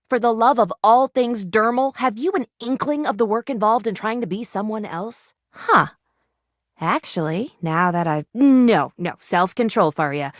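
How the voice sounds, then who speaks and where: A woman's voice: medium pitch, a bit bright, no room sound, studio quality. A woman voicing an eccentric, eager medical student in a video game, with a precise, wordy, earnestly awkward way of talking. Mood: emphatic, earnest. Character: eccentric, eager female medical student, a household object come to life. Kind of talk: animated video game character dialogue.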